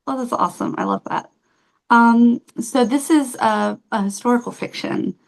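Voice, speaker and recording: A woman's voice: on the high side, moderate pace, vocal fry, poor audio. A woman hosting an interview show, upbeat and enthusiastic, asking questions casually with fillers. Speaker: show host.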